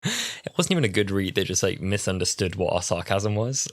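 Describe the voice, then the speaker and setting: Low-voiced congested man. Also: very animated, fairly quick, no room sound, studio quality. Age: 20s. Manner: chatty, laughs often, many fillers. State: stuffy nose from a cold. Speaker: podcast co-host. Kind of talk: casual chat.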